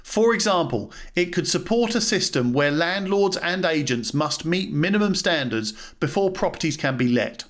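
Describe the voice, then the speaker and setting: A man reading a policy document aloud as scripted narration, in a formal and measured manner. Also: on the high side, animated, fairly bright tone. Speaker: reader, podcast host and landlord.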